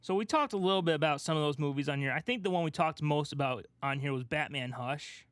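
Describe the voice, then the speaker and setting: A man podcast co-host chatting casually about movies, telling things in an animated way and acting out his own reactions. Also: on the high side, quick pace, no echo, studio quality.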